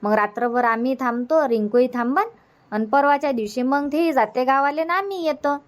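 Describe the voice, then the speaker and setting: A woman plays a village mother from a cartoon comedy, with a fairly high voice and a Varhadi Marathi accent. She chats in a homely, practical way, repeating herself as she agrees and organises. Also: fairly quick, natural voice. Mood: chatty, explaining. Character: chatty, practical village mother, middle-aged or older, organising an outing.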